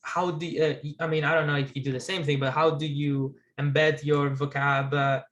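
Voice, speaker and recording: Young Greek-accented man, non-native English, hesitant, video-call laptop mic, higher-pitched. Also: little pitch movement, moderate pace, fairly bright tone, highs cut off. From Greece. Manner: hesitant, many fillers and restarts. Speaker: guest. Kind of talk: casual video call conversation. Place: small bright room at home. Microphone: laptop webcam mic on a video call.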